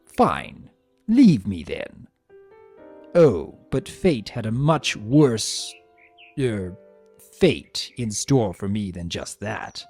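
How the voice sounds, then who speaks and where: A man voicing a vain, spiteful, sarcastic trickster creature trapped as a statue, telling its story with theatrical, sneering, drawn-out emphasis. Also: lowish voice, sing-song, a little mellow, husky, heavily acted. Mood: sarcastic, irritated. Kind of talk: dramatic first-person story reading. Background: music.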